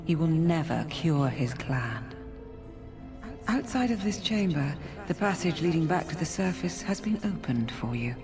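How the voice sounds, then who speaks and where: A woman's voice: sits low, sing-song, unhurried, over music. A woman acting as a solemn, regal forest spirit who guards beasts in a fantasy game, speaking in a stately, firm manner. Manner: stately, pleading yet firm.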